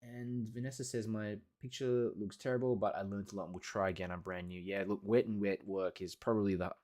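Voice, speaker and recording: Male live workshop host: lowish pitch, informal, encouraging, hesitant delivery with many pauses. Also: fairly even intonation, darkish tone. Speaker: watercolour teacher hosting a live workshop. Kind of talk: casual livestream chat reading and answering viewer comments.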